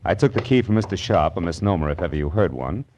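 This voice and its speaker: Low-voiced wry American private eye. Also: male voice, lively intonation, fast talker, darkish tone, slight background noise. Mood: dry, narrating. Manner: wry, slangy, clipped. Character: wry, flirtatious hard-boiled male private detective. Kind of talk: 1950s radio noir acting and first-person narration.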